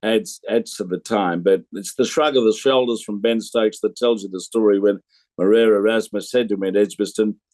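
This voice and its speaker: A man giving conversational sports punditry, halting between phrases and telling anecdotes. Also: on the low side, steady tone, darkish tone, no echo. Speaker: cricket pundit.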